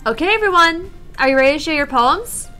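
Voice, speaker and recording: A woman's voice: sits high, lively intonation, fairly quick, pushed and bright, faint music behind. A woman playing a composed, friendly teenage girl who leads a school club in a visual novel, speaking politely and encouragingly. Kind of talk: visual novel character dialogue.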